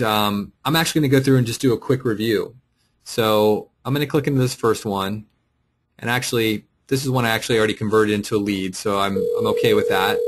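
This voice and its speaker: Tutorial presenter: male with a fairly low voice, informal explanatory delivery, talking through a screen share step by step. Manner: explanatory, thinking aloud while clicking through. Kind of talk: casual screen-share walkthrough, unscripted. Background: voice-over for a screen recording.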